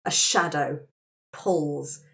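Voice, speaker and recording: A woman's voice: low voice, fairly even intonation, extremely slow, gravelly, fairly bright tone. A woman narrating and facilitating a tabletop roleplaying game among friends in a casual, chatty, encouraging way.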